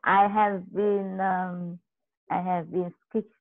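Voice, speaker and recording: Vietnamese-speaking woman in her 30s, super slow, halting, dry muffled call audio. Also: on the low side, little pitch movement, dry recording. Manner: hesitant, many pauses and fillers. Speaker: student. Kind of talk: halting answers in an online lesson. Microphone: video call. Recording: dull lo-fi video call audio.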